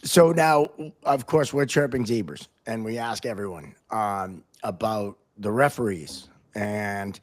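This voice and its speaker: A man's voice: lowish voice, a little slow, few pauses. A man hosting a podcast, interviewing a guest in a casual conversational style. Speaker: podcast host.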